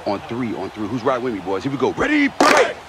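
An actor playing an earnest, respectful young American quarterback in a video game cutscene. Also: male voice, medium pitch, wildly swooping pitch, brisk, heavy background noise. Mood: commanding. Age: young adult.

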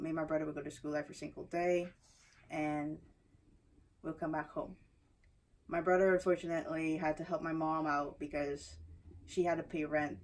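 Young guyanese woman talkin to camera, kinda low monotone, lots of ums, sounds a bit far off. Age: young adult. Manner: soft-spoken, hesitant, many ums and likes. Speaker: athlete introducing herself. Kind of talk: personal story told to camera. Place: small indoor room before a banner. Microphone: camera a few feet away.

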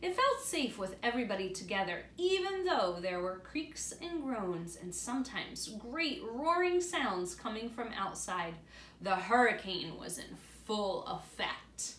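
A woman in her thirties reads a storybook aloud to children as a teacher, pausing to explain things in simple words. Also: medium pitch, expressive, fairly bright tone. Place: indoor room beside a large glass door. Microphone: camera a few feet away. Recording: roomy, distant camera mic with faint noise.

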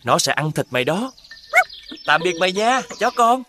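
A man's voice: sits high, sing-song, normal speed, music in the background. A man playing a kind, cheerful young villager in a folk-tale cartoon. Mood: gentle.

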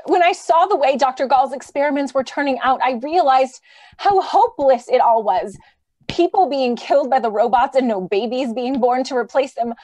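An actress playing a remorseful, pleading idealistic wife in old-time radio drama style. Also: female voice, sits high, moderate pace, darkish tone, no echo. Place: indoors, on a video call.

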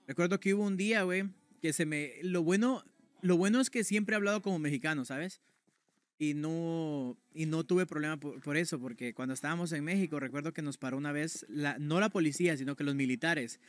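A man's voice: on the high side, a bit bright, no echo. A young man streaming from a room at home, casually telling a personal story to his chat in a slangy, conversational way. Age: young adult. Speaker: game streamer.

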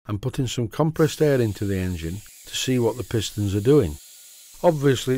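A man with a Yorkshire accent talks through his workshop job in a low, mellow voice. He explains each step plainly and methodically, in a dry, close recording with no room sound. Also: no room sound. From Yorkshire, England. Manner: steady, methodical, plain-spoken instruction. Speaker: model engineering channel host. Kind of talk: workshop tutorial voice-over.

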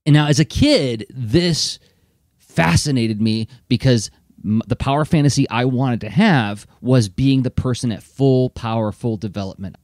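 A man co-hosting a podcast in casual chat, animated and full of fillers. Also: mid-range voice, unhurried, no echo, clean studio sound. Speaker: podcast co-host.

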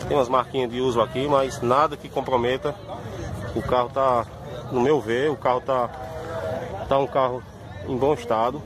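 A man's voice: mid-range voice, steady tone, moderate pace, long pauses. A man, giving a casual, informal walkaround of cars at an outdoor car fair, filmed on a phone. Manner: chatty, informal, repeats "pessoal". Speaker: car vlogger. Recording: outdoor street noise behind. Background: traffic and crowd ambience.

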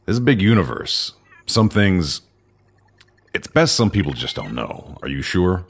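An actor playing a commanding, guarded alien council official in a sci-fi audio drama, with a gravelly, husky voice and questioning manner. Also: male voice, deep voice, sing-song, measured pace, heavily acted. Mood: reassuring, cautious. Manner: authoritative, questioning.

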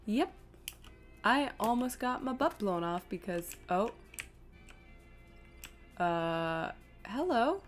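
A woman gives casual live gameplay commentary in a mid-range voice. She rambles, thinks out loud and pokes fun at herself, with game music faintly behind her. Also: medium pitch, very animated, slow pace, pauses often. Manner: self-deprecating, rambling, thinking aloud. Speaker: game streamer.

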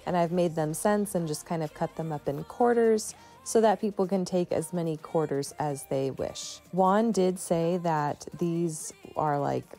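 A stay-at-home mom giving a chatty, relaxed voice-over for her home vlog, often saying "like" and "you know". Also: on the low side, measured pace, few pauses, soft background music. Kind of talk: casual voice-over narration.

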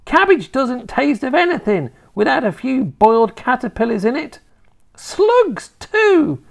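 Shrill, wicked old granny. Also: female voice, sing-song, gravelly, heavily acted. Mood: gleefully wicked. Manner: snappish, scornful, drawn-out emphatic delivery. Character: nasty, bossy, gleefully wicked tiny old grandmother.

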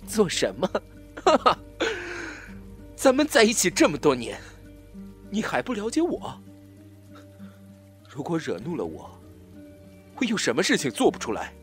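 An actor playing an obsessive, scheming jilted lover in a Chinese audio drama, swinging from soft pleading to taunting menace. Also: male voice, mid-range voice, wildly swooping pitch, brisk, soft background music. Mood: menacing, taunting.

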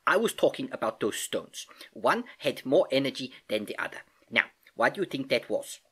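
A storybook narrator voicing an elderly, jovial uncle who eagerly and patiently explains science, with animated exclamations and hesitations. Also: mid-range voice, normal speed, husky, voice pushed up, heavily acted. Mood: eager explaining. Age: older.

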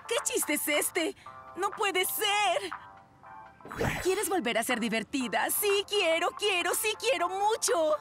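A woman's voice: very high-pitched, big pitch swings, slow, fairly bright tone, faint music behind, full character voice. A woman playing an eager, corny grown woman in a dubbed cartoon, with a perky, exaggerated delivery. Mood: eager, dismayed.

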